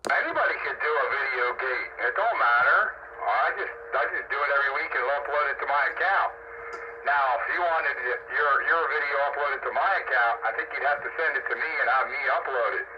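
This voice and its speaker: A man's voice: mid-range voice, fairly quick. A man chatting casually over the radio in a folksy, rambling way. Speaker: host of an amateur radio round-up. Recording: dull lo-fi radio with clear noise.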